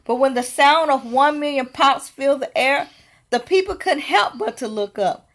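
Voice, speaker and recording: A woman reading a children's picture book aloud as storyteller, with expressive, deliberate delivery. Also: sits high.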